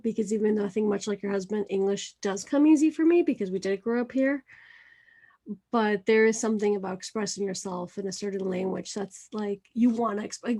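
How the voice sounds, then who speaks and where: A woman from Venezuela hosting an interview, chatting in a lively, friendly way. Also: mid-range voice. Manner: chatty, animated, laughs. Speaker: podcast host. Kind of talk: casual conversation.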